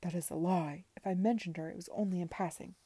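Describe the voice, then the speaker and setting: A woman acts a passionate young gentleman from a period novel, earnest and full of protest. The voice is low and slightly rough in a dry recording. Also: steady tone, quick pace, no echo. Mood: tense, angry. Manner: insistent, protesting. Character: earnest, impassioned young man in love, debt-ridden.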